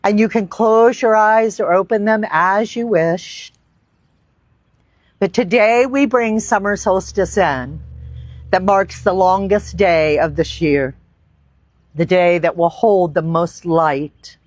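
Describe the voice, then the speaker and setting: A woman teaching yoga, leading a guided meditation in deliberate, reverent phrases with long pauses. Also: mid-range voice, dry recording. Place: outdoor park.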